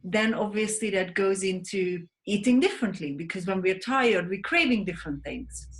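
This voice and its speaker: A woman in her thirties with a Hungarian accent talks earnestly as an interview guest, often restarting her sentences as she tells her story. Her voice sits at a middle pitch for a woman, and she speaks over a video call. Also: lively intonation, moderate pace. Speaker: guest, sleep advocate. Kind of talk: interview conversation.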